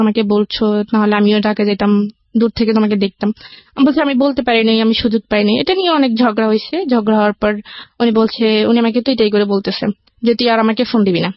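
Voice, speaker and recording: A woman's voice: fairly high pitch, fast talker, no room sound. A young woman with a Bangladeshi accent telling their life story in casual, detailed conversation as a guest on a radio show. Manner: recounts in detail with reported dialogue. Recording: dull, lo-fi broadcast audio.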